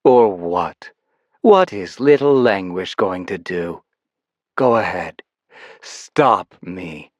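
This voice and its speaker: A man's voice: low-pitched, animated, takes it slowly, no room sound, highs cut off, heavily acted. A man reading an audiobook voices a cruel, mocking woman villain with a taunting, contemptuous manner.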